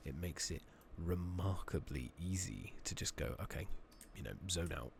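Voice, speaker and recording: A man doing soft-spoken, partly whispered ASMR game commentary, rambling along in a relaxed way as he thinks aloud. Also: low-pitched, normal speed, vocal fry, slight background noise. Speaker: game streamer, ASMR host. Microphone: makeshift new close microphone.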